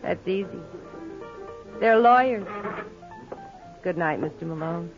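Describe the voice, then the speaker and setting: An actress playing a cool, sardonic widow in an old-time radio detective drama, with dry, skeptical replies. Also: female voice, fairly low pitch, expressive, takes it slowly. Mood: bitter, dry. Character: cool, sardonic woman, a freshly widowed wife. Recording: dull old radio recording with music.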